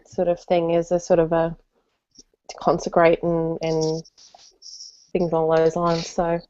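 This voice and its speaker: A woman's voice: lowish voice, monotone, unhurried, warm tone, clear, resonant tone. A chatty Australian woman hosting an informal online discussion. She is giggly and explains things in loose, hedged sentences. The sound is dull and lo-fi, like an internet call. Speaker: host of an online book discussion. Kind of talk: casual chat. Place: live online video call. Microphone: computer call, wearing headphones.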